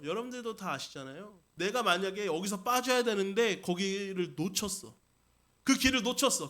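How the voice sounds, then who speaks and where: A man's voice: high voice, fairly bright tone. A man preaching a Sunday sermon as senior pastor, putting questions to the congregation and repeating key points for emphasis. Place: church service.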